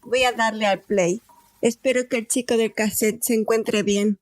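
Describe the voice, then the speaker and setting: A woman's voice: fairly high pitch, steady tone, moderate pace, noisy background, natural voice. A woman playing a scared, determined young captive, narrating an audio drama in the first person. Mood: weary, determined.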